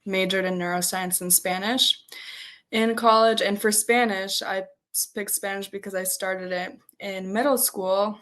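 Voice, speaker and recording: A woman in her twenties, a native Polish speaker, answering interview questions as a student in a halting, conversational way with frequent filler "like". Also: medium pitch, steady tone, a bit bright. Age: 20s. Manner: hesitant, peppered with "like". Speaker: interview guest, first-year medical student.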